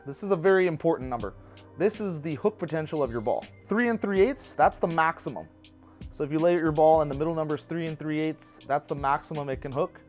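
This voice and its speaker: Shop instructor, man in his 30s, lapel mic, easygoing practical delivery, light pitch. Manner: relaxed, practical, personal asides. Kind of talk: casual how-to explanation. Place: bowling pro shop indoors.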